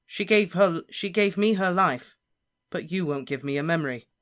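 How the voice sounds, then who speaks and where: Brief: earnest teenage boy wizard, quiet but insistent, read by the narrator. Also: medium pitch, moderate pace, pitched above the performer's own voice, lightly acted. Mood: determined.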